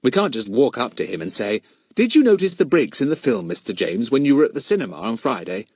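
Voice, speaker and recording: A man's voice: medium pitch, very animated, fast, breathy edge, no room sound. A man voicing an eager, friendly, questioning schoolboy sidekick in a children's storybook, earnest and boyish. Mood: eager, puzzled.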